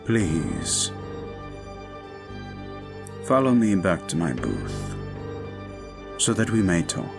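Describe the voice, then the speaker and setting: A man playing a suave, possessive vampire in an audio roleplay, speaking formally and deliberately with a commanding manner. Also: low voice, big pitch swings, creaky, music in the background, heavily acted. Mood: courtly, smooth. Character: suave, controlling male vampire, courtly and possessive. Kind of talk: scripted audio roleplay, slow intimate monologue.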